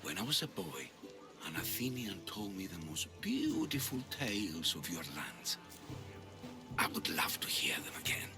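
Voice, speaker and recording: Elderly Persian beggar, low voice, swooping intonation, humble, wistful, game cutscene. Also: male voice, huge pitch swings, unhurried, over music, full character voice. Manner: storytelling, courteous, wistful. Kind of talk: video game cutscene acting.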